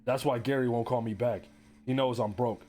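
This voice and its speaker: A man's voice: medium pitch, steady tone, brisk, darkish tone, lightly acted. An actor playing a broke, desperate divorced man who narrates his analog horror story in a resigned, confessional, wry way. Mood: desperate resolve.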